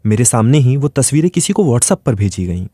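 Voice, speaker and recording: A man's voice: medium pitch, big pitch swings, a little fast, mellow, no echo, clean studio sound. A man reading a scripted first-person dramatic story as its narrator.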